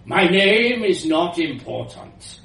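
A man voicing an elderly, eccentric woman scientist with a powerful voice, a foreign accent and halting, grandiose, bitter delivery in a story. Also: high-pitched, very animated, creaky, a bit bright, pitched above the performer's own voice, full character voice. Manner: halting non-native grammar, grandiose, declaiming. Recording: roomy, distant mic with hiss.

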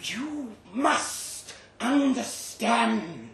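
A man voicing a formal, apologetic monstrous creature in a slow, deliberate way, in a live story reading. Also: very high-pitched, big pitch swings, vocal fry, fairly bright tone, far from the mic, voice pushed up, heavily acted. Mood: eerily polite. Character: courteous, apologetic monstrous creature, captor of humans. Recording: roomy live venue recording, faint noise.

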